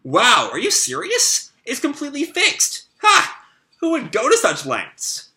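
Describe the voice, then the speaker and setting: A man reading aloud a manga page, voicing a hotheaded teenage boy ninja who shouts and protests. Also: extremely high pitch, wildly swooping pitch, a little slow, voice pushed up, exaggerated voice. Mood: indignant. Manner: shouting, indignant.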